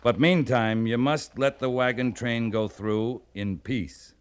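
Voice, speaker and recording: An actor playing a resolute American army captain in an old-time radio western, speaking in a measured, authoritative way. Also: male voice, mid-range voice, fairly even intonation, smooth, natural voice. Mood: firm, persuasive. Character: resolute, plain-spoken U.S. Army cavalry captain in the Old West. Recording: vintage radio drama recording.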